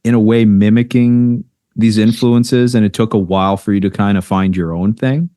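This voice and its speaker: A man hosting a podcast, asking questions and chatting in a relaxed, easygoing way with some hesitant restarts. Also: lowish voice, moderate pace, no room sound, clean studio sound. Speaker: podcast host. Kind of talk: casual interview chat.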